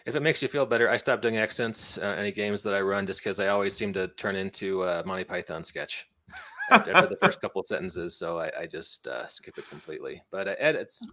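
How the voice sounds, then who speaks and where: A man's voice: low voice, animated, a little fast, bright, forceful tone. A man hosting a podcast in a casual, informal, slightly rambling way, with some self-deprecation. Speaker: podcast host. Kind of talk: casual interview chat.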